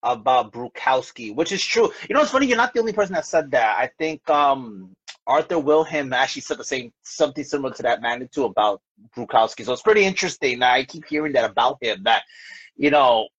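A man's voice: mid-range voice, animated, fairly quick, fairly bright tone, slightly rough. Slightly muffled man hosting a casual interview. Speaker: show host and community platform founder. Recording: slightly dull lo-fi audio.

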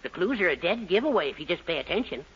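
A muffled, noisy 1940s radio recording of a bossy woman schemer in a sitcom. Her voice is bright and forceful, and she pushes her points with theatrical emphasis. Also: on the low side, sing-song, fast talker. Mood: scheming, persuasive. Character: bossy, scheming partner with grand showmanship.

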